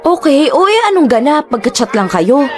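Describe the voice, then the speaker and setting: A woman playing a young computer shop attendant who is nosy and bossy, with a brash delivery and quick questions. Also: high-pitched, big pitch swings, soft background music. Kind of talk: radio drama acting.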